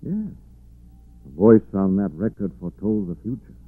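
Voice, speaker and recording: An actor playing a suave, thoughtful gentleman detective, measured and musing, in 1940s radio style. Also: on the low side, no echo, natural voice. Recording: muffled narrow-band 1940s radio recording.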